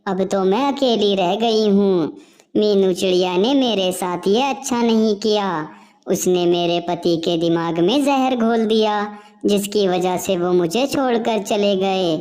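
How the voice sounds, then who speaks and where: A woman voicing a wronged wife sparrow in a cartoon, speaking softly and plaintively. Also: medium pitch, unhurried, natural voice. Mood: forlorn. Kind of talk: cartoon voice acting.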